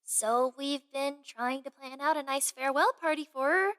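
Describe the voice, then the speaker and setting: A young woman acting a chatty, excitable palace gossip in an expressive, theatrical way for a fantasy audio drama. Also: high-pitched, normal speed. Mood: confiding, insistent.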